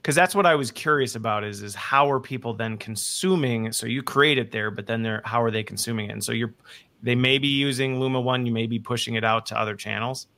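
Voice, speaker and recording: A man's voice: mid-range voice, brisk, pushed and bright. A man interviewing guests in a casual business conversation, thinking aloud and often checking that he has understood.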